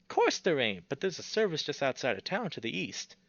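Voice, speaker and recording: A man's voice: on the high side, big pitch swings, a little fast, creaky, fairly bright tone, no room sound, pitched above the performer's own voice, heavily acted. An old bear voiced by a male streamer talks in a folksy, friendly, offhand way, like a rural stranger in a visual novel. The recording is dry and a touch muffled. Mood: helpful, insistent. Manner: folksy, offhand country phrasing.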